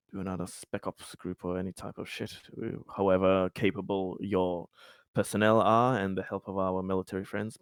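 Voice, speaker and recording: A man playing a tabletop roleplaying game, speaking in character with deliberate, somewhat formal phrasing and casual asides. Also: low-pitched, dry recording.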